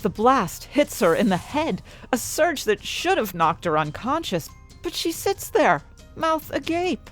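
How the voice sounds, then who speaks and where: A woman playing an ambitious, conflicted scientist who narrates her own story with dramatic, deliberate phrasing. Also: fairly high pitch, sing-song, soft background music. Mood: tense narration. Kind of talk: first-person audio fiction narration.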